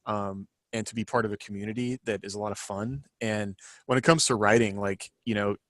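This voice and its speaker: A man's voice: fairly low pitch, steady tone, brisk, a bit bright, dry recording. A man co-hosting a casual podcast, rambling and thinking aloud as he talks. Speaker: podcast co-host and co-author.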